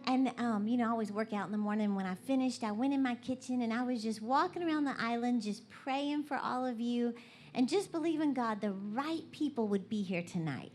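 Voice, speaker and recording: A woman's voice: on the high side, brisk, a little mellow, slight background noise. A woman with a Southern US accent giving a bubbly, folksy, conversational motivational talk to a live church gathering. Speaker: guest motivational preacher. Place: large indoor church event.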